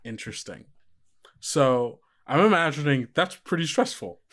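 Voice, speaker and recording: A man's voice: fairly high pitch, expressive, moderate pace, a bit bright. A man running a tabletop horror game, narrating improvised scenes conversationally and often putting questions to his player. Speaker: game master.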